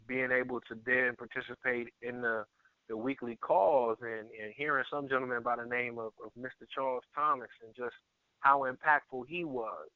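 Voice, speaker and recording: An earnest man speaking as a guest on a conference call, halting and repeating words as he gives a testimonial over a telephone line. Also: mid-range voice, no room sound. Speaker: guest, network marketer and area manager. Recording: tinny phone line, narrow band.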